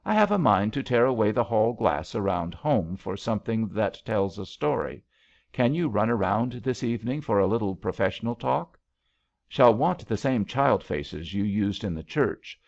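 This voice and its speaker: Bluff male banker, voiced by a man narrating, hearty, commanding, lively pitch, storybook read, slightly muffled. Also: gravelly. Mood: hearty, brisk. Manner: brisk, hearty, clipped phrases.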